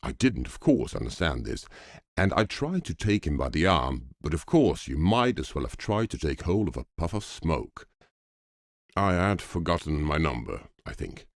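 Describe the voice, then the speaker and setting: A man narrating a classic ghost story as an audiobook, in an expressive storytelling manner. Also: extremely low pitch, moderate pace, no room sound, studio quality.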